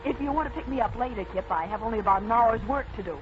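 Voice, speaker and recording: An actress playing a spirited, curious young newspaper reporter with a pert, earnest manner in an old radio drama. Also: female voice, fairly high pitch, racing, natural voice. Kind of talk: 1940s radio acting. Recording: noisy muffled old radio recording.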